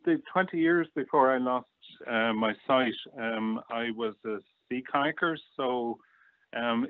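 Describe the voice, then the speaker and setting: A man's voice: medium pitch, no echo. A middle-aged Irish man chatting casually as an interview guest, reminiscing over a call. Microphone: online call or phone line. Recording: muffled phone-like, dry online call.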